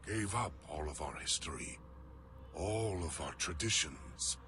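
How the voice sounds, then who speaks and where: An actor playing a proud, embittered alien elite warrior in a game cutscene, grave and emphatic in delivery. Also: male voice, extremely low pitch, expressive, measured pace, noisy background. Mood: bitter, reverent. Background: cinematic music.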